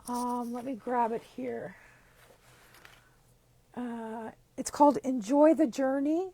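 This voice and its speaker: A hoarse woman with a croaky voice, chatting upbeat through a card-making tutorial step by step. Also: high-pitched, a little mellow, no room sound, low-quality recording. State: hoarse, losing her voice. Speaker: craft demonstrator.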